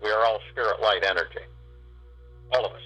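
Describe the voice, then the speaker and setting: A man guiding a spiritual meditation, speaking in slow, drawn-out phrases with long pauses. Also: lowish voice, fairly even intonation, fairly bright tone, husky, soft background music, low-quality recording. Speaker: meditation guide.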